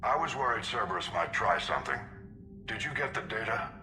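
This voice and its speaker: An actor playing an older, stern military admiral in a video game, brisk and authoritative. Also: male voice, lowish voice, big pitch swings. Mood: probing, concerned. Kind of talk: video game cinematic acting. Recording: echoey comm transmission, music behind.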